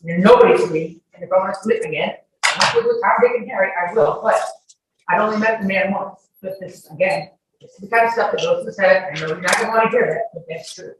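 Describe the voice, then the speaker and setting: A woman's voice: medium pitch, fast. A woman's voice comes from far off in a very reverberant room with faint noise. She testifies at a hearing in an argumentative, insistent way, repeating phrases for emphasis and pushing back on questions. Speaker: witness testifying in a court hearing. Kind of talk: courtroom testimony under questioning. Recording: very echoey room, distant mic, faint noise.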